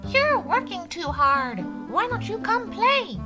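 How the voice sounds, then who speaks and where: A woman voicing a small, plucky, kindly male pig from a storybook in a squeaky voice, over music. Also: big pitch swings, slow, heavily acted. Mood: coaxing. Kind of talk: storybook character voice. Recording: loud music under the voice.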